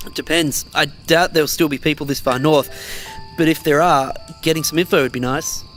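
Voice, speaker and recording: A man's voice: on the high side, steady tone, brisk, a bit bright, faint music behind, close to an everyday voice. A man acting as a pragmatic, exasperated survivor in an audio drama, talking in a blunt, argumentative way. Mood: easing off. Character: Marcus, blunt pragmatic male survivor, exasperated but caring. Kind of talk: naturalistic audio drama dialogue.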